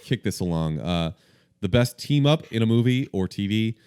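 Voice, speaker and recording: A young man hosting a casual movie podcast, brisk and a bit sweary, running the segments. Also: fairly low pitch, expressive, a little mellow, clear, resonant tone. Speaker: podcast host.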